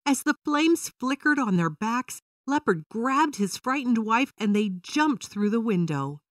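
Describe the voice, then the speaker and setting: A woman's voice: a little high, very animated, measured pace, no room sound, clean studio sound, distant mic. A woman storyteller reading a scripted children's story as narrator.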